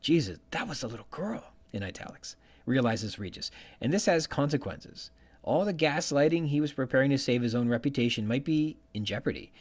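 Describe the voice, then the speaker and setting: Lively, expressive male podcast host. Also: mid-range voice, a little fast. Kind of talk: literary commentary reading from prepared notes, quoting passages.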